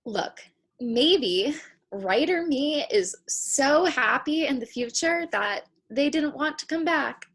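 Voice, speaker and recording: A woman playing a determined, hopeful young aspiring writer, speaking deliberately in a comedic table read. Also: high-pitched, expressive, fairly bright tone, exaggerated voice. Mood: pondering. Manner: deliberate, resolute.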